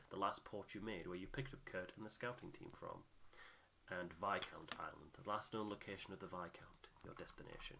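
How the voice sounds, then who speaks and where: A man's voice: low voice, gravelly, fairly bright tone, a little hiss or hum. A man hosting a game playthrough, narrating scenes and explaining the rules.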